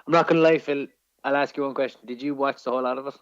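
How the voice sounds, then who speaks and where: A man's voice: mid-range voice, steady tone, very fast, clean tone, dry recording, highs cut off. An Irish man in casual film-review chat as a podcast co-host, opinionated and sweary.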